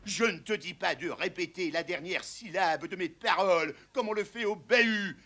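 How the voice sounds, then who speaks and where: A man's voice: high voice, moderate pace, sharp tone, full character voice. A man playing a pompous, greedy, grotesque tyrant in a theatrical play reading, ranting in mock Latin and trading curses. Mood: scolding.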